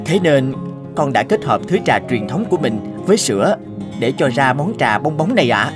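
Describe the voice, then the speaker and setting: A man's voice: mid-range voice, very animated, moderate pace, natural voice. A man voices a young cartoon teahouse owner. He is earnest and polite, explaining himself respectfully, with plucked guitar music behind him. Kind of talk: Vietnamese cartoon dub.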